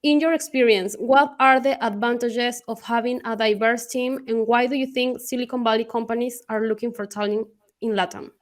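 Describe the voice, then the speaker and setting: A woman's voice: a little high, fairly even intonation, moderate pace. A woman hosting a virtual Q&A interview, encouraging and affirming, often ending with "right?".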